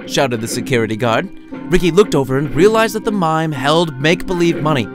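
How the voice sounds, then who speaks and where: Higher-pitched man narrating, very lively intonation. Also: fairly quick. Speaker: author reading his own short story. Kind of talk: scripted storytelling narration. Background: music under the voice.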